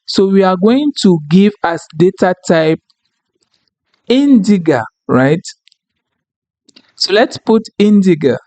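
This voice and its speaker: A higher-pitched man whose first language is French, explaining a data tool step by step at an unhurried pace. He keeps asking "okay?" and "right?" as he goes, and his voice sounds close and dry, with no room echo. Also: expressive, darkish tone. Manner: explanatory, frequent "okay?" and "right?" check-ins. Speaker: tutorial instructor. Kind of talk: screen-recorded software tutorial.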